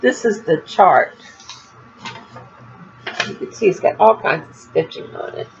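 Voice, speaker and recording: A woman's voice: fairly low pitch, animated, measured pace, vocal fry, highs cut off, over music. An older woman from Florida chatting casually to her viewers as a crafting vlogger, rambling a little, with a stuffy nose and a slight cough. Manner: chatty, rambling, laughs at herself. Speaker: crochet vlogger. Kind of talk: casual chat to camera.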